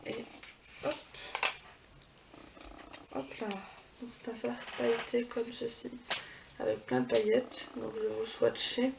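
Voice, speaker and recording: A woman's voice: on the high side, sing-song, slow, long pauses. A woman vlogging a casual beauty haul, chatty, with many fillers and self-corrections. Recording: far from the mic, clear background noise.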